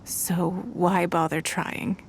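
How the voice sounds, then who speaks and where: A woman playing a composed, wealthy noblewoman leader in a video game, speaking in a measured, formal way with private grief underneath. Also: fairly low pitch, little pitch movement, gravelly, slight background noise. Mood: grief-stricken, subdued. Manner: measured, formal, eloquent, poised. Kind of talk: scripted video game dialogue.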